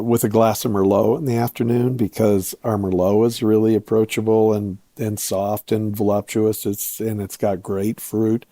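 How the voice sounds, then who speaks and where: A man's voice: fairly low pitch, mellow, no room sound. Man with a very dry, close sound. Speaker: guest, vineyard owner and grape grower. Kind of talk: relaxed interview storytelling.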